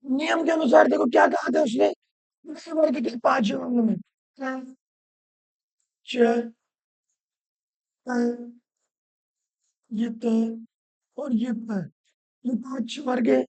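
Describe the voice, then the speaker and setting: A woman teaching Hindi grammar, hoarse from a cold, asking questions and answering them herself while repeating the rules loudly. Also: high voice, fairly even intonation, slow, long pauses, no room sound. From Rajasthan. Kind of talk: classroom-style lesson.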